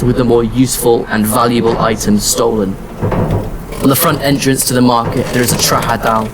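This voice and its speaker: A somber male wanderer played by an actor tells his science fiction story in the first person. His voice sits at a medium pitch, measured and reflective, with clear background noise around it. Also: lightly acted. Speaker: narrator and lead character in an audio drama. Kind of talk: first-person scripted narration.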